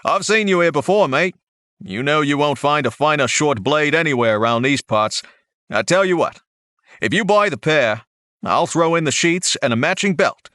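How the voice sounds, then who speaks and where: A man's voice: on the high side, expressive, moderate pace, fairly bright tone, no echo, studio quality, voice pushed up. A man voicing a brash male blade vendor with an Australian accent, talking like a pushy salesman. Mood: salesy.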